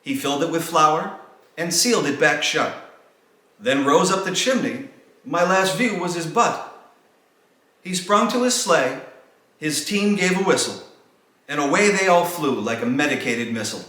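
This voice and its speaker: A man's voice: on the high side, lively intonation, a little slow, pauses often, sharp tone. A man giving a theatrical recital of a rhymed holiday poem, with a dramatic sing-song delivery. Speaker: storyteller reading his own poem. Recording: very echoey, reverberant room sound.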